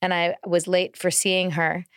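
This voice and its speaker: Lower-pitched woman, close dry sound. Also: little pitch movement, unhurried, fairly bright tone, smooth, no room sound. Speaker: podcast co-host. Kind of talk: casual podcast conversation.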